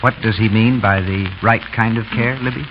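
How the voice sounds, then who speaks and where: A man's voice: lowish voice, fairly even intonation, moderate pace. A man announcing on a 1930s radio show in a polished, salesmanlike scripted style. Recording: dull, noisy 1930s radio.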